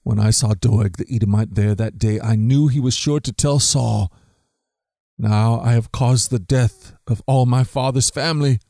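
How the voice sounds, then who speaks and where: A man's voice: medium pitch, normal speed, creaky, mellow, dry recording, clean studio sound, close to an everyday voice. A man reading an earnest fugitive leader. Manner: earnest, protective. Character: determined young male fugitive leader.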